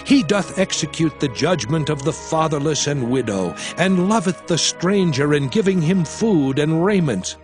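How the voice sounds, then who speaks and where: A man playing a solemn prophet who exhorts his people in a measured, declamatory delivery for a dramatized scripture recording. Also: on the high side, animated, faint music behind, close to an everyday voice.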